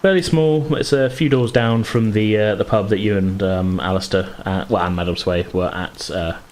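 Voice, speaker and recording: A man's voice: fairly low pitch, fairly even intonation, normal speed, a little mellow, slight background noise. A man hosting a tabletop gaming podcast as game master, talking in an informal, conversational way. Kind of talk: casual podcast intro, recap and game narration.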